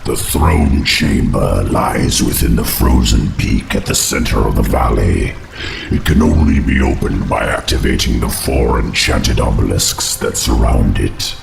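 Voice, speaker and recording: An actor playing a huge ancient undead spider lord, giving measured tactical briefings. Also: male voice, full character voice. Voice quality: deep and gravelly. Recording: echoey cinematic voice with score. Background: orchestral music.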